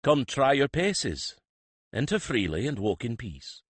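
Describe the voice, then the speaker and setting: A man's voice: mid-range voice, big pitch swings, unhurried, slightly rough, dry recording, pitched above the performer's own voice. A man voicing a weary but kindly male priest of a poor temple, with rustic, old-fashioned speech. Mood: thoughtful.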